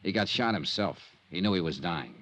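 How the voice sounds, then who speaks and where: Hardboiled american 50s radio sleuth, sardonic, lowish voice that really moves around. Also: male voice, lively intonation, a bit bright. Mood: accusing, sardonic. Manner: clipped, sardonic, dramatic pauses. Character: hard-boiled male insurance investigator, wry and relentless. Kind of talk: 1950s radio detective drama acting and narration. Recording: vintage radio recording with music.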